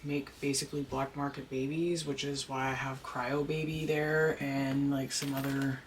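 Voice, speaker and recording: Deep-voiced chatty doll collector, noisy recording. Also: moderate pace. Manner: chatty, rambling, gushes with excitement. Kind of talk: casual unboxing chat.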